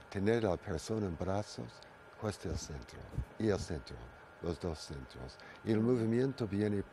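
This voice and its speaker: An older man with a strong Italian accent, speaking slowly and haltingly in a very low, mellow voice. He searches for words, repeats phrases and restarts often. There is a faint hiss of background noise behind him. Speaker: guest, Watsu originator. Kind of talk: radio interview answers.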